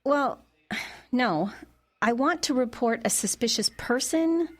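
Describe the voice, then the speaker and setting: A woman's voice: a little high, lively intonation, takes it slowly. An actress playing a flustered, earnest, suspicious middle-aged mother turned amateur sleuth in an audio drama, hesitant in her natural dialogue.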